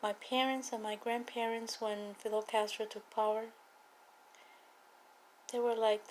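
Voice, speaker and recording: Woman, Cuban accent, native Spanish speaker, slow pace, fairly even intonation, earnest political appeal, emphatic repetition. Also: fairly high pitch, slight background noise, rough recording. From Cuba. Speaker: political activist speaking to viewers. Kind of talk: personal testimony and political appeal.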